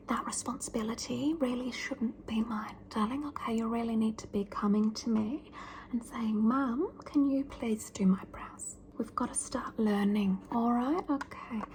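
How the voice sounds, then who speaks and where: A soft, breathy whisper from a doting but bossy mother, as a woman plays her in an ASMR roleplay. She fusses and scolds in the same slow, lingering breath, calling everyone darling. Her voice sits a touch high, with a faint hiss of noise behind it. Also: lightly acted.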